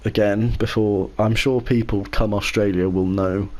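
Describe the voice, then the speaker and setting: Low-voiced man chatting casually on a podcast. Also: steady tone, normal speed, a little mellow. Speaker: podcast co-host and league racer.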